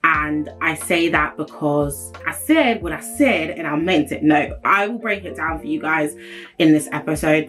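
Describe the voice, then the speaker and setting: Girl in her 20s, deep strong voice, straight talking, says it how it is. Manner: blunt, emphatic, straight-talking. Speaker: host. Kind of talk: solo opinion vlog talk.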